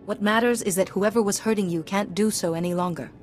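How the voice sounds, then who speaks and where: Young female game hero, acted, calm, earnest, medium pitch, music underneath. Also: fast, lightly acted. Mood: composed, earnest. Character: composed, dutiful young female Jedi hero. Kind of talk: video game voice acting.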